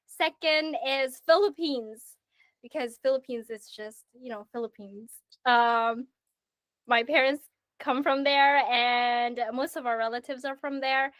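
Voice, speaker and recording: A woman from Italy chatting casually as a panel guest, animated and laughing between phrases. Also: high voice, a little slow, smooth, dry recording. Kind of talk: casual chat.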